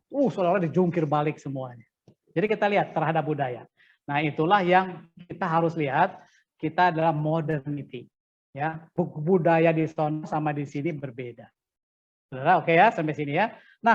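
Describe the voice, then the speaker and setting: A man with an Indonesian accent lecturing as a preacher in a conversational, animated teaching manner, often asking rhetorical questions. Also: a little high. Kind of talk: interactive sermon-preparation lecture. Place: online class over video call. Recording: dull lo-fi online call audio.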